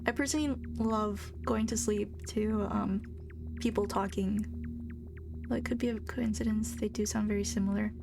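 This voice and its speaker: A young woman streamer in college chatting casually while playing a game, soft-spoken and fairly monotone. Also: mid-range voice, moderate pace, creaky. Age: young adult. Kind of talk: casual live-stream chat while gaming. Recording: game music playing behind. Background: game music.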